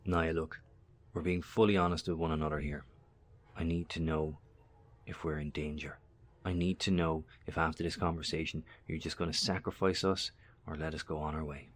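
Very deep-voiced, grave professor. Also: male voice, fairly even intonation. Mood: wary, tense. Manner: solemn, deliberate. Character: grave male professor, wary survivor broadcasting from a bunker. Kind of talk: horror audio drama acting.

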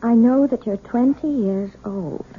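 An actress playing a gentle, frightened female piano teacher in a 1970s radio drama, hesitant and stammering. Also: a little high, a little slow, husky. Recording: tinny lo-fi old radio broadcast with faint hiss.

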